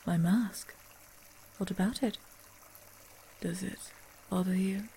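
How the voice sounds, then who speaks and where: Whispery masked butler played by a woman, formal and polite, dark husky tone, a little gravel. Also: lowish voice, steady tone, takes it slowly, slight background noise. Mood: hushed. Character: masked female butler, quiet and dutiful. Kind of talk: ASMR roleplay audio drama.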